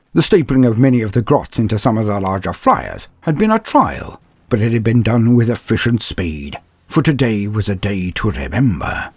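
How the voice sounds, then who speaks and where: A man's voice: medium pitch, darkish tone, slightly rough, dry recording. A man narrating a comedic fan story in a grandiose, mock-heroic manner with dry asides.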